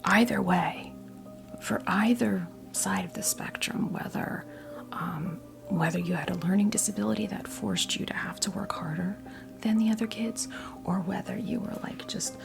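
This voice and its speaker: An American woman in her fifties giving a tarot reading as ASMR role play, whispering softly in a halting, gently reassuring way. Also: medium pitch, music in the background. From New Jersey, US. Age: 50s. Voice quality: whispered, breathy soft voice. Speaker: ASMR performer playing a tarot reader.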